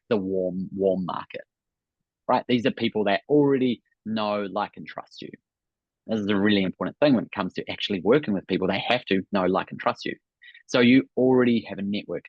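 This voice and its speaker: A New Zealand man in his thirties talks through a business coaching webinar in an upbeat way, often checking in with "right?". His voice is low and a little husky. The sound is dry and slightly dull. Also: dry recording. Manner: upbeat, repeats "right?" and "really, really".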